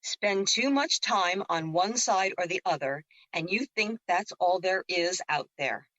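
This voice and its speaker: A woman's voice: on the low side, animated, a little slow, fairly bright tone, no echo, dull audio. A woman playing the Moon as a teasing, wise and reassuring spirit in an audio drama, with a playful, counselling way of talking.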